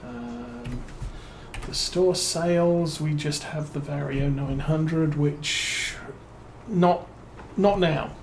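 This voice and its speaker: A man with a British accent giving relaxed let's-play commentary as a streamer, musing and thinking aloud with plenty of fillers. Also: fairly high pitch, extremely slow, vocal fry, a little hiss or hum. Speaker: game streamer.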